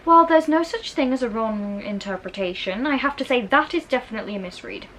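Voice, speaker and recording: A woman reading a storybook, voicing a bookish eleven-year-old girl who is prim, earnest and quick to correct. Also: fairly high pitch, expressive, pushed and bright, slight background noise, voice pushed up, poor audio. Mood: prim, challenging. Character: bookish, disapproving eleven-year-old schoolgirl twin sister. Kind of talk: children's storybook character reading.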